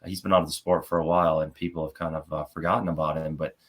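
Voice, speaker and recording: A man hosting a podcast, talking in a relaxed, conversational way and asking his guest questions. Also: a bass voice, fast talker. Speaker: podcast host. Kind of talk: casual podcast interview.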